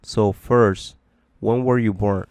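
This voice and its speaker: Slow, low-voiced male language teacher. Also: steady tone, a little mellow, dry recording, clean studio sound. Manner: deliberate, repetitive, explanatory. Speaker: English teacher. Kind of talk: bilingual language lesson, translating and repeating phrases.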